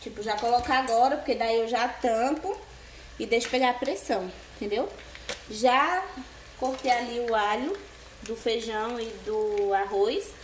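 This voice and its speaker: A woman's voice: fairly high pitch, normal speed. Chatty young woman cooking, echoey kitchen. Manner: chatty, keeps asking viewers "né, gente?". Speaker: cooking vlogger. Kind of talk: casual cooking chat to camera. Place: small home kitchen with tiled walls. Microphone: camera on the counter, partly hand-held over the stove. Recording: echoey tiled kitchen, faint background noise.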